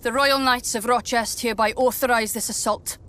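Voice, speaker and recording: An actress playing a stern royal knight in a game cutscene, making a formal, commanding proclamation. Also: female voice, a little high, steady tone, normal speed, sharp tone, slight background noise.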